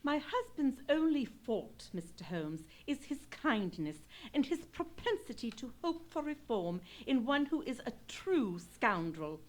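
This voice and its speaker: Female actor as aristocratic wife, fairly high voice, very expressive intonation, firm, loyal, formal, radio drama. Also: unhurried, few pauses. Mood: disapproving, loyal. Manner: formal, stately, resolute. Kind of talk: live old-time radio play acting.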